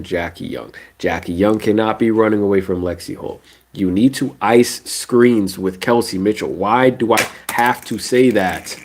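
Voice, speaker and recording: A young man with a stuffy, nasal voice from a cold, ranting casually about basketball on a live stream. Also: lowish voice. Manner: animated ranting, casual slang. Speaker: sports streamer.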